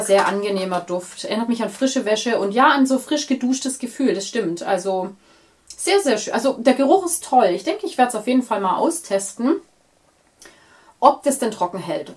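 A woman in her thirties with a cold, chatting enthusiastically as she unboxes beauty products on camera in a small bright room. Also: a little high. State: stuffy, with a cold. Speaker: beauty vlogger. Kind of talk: casual unboxing chat.

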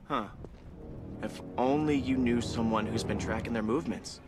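An actor playing a cocky, loyal young crimefighter in a video game, wry and casual. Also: male voice, mid-range voice, moderate pace, music in the background, close to an everyday voice. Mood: wry, earnest.

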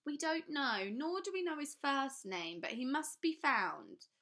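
A woman reading a storybook, voicing a thin, small, nervous man with a high squeaky voice. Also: big pitch swings, measured pace, a bit bright, heavily acted. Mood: squeaky, anxious.

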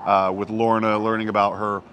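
Relaxed man chatting outdoors. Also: lowish voice, a little fast, clear, resonant tone. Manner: relaxed, lots of "uh" and "yeah". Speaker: vlog host. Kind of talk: casual travel chat. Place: outdoors on a hillside above a small town.

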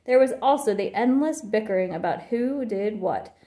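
A woman's voice: medium pitch, animated, moderate pace, warm tone, reverberant. A woman reading a children's picture book aloud with expression. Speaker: storybook reader.

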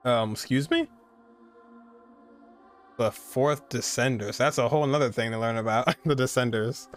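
Male game streamer, twenties, medium-pitched, informal off-the-cuff commentary with soft music in the background. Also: moderate pace. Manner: informal, slangy. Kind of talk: casual live reaction commentary. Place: indoor streaming setup, game audio behind.

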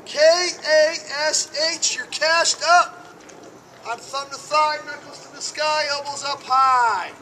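A man coaching a youth baseball clinic outdoors, giving loud call-and-response instructions to the kids, recorded by a camera from a distance. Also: very high voice, slow. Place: outdoor school field. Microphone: far camera. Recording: noisy outdoor field recording.

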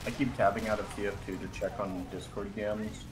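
A man's voice: fairly low pitch, brisk, over music, poor audio. A man chatting over voice chat during a game, jumpy and teasing back at friends. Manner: lively, jumpy intonation, swears when teased. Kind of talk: casual gaming chat.

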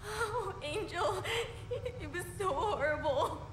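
A woman's voice: very high-pitched, animated, slow, exaggerated voice. An actress playing a terrified young woman survivor in a video game, stammering and tearful. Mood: tearful shock. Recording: roomy echo, noisy game ambience.

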